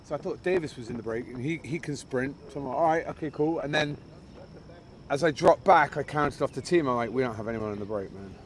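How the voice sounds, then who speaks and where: A man who races bikes, chatting excitedly after a race outdoors and swearing often. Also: mid-range voice, quick pace, slight background noise. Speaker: amateur bike racer. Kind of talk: casual chat after a race. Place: outdoors at a park bike race.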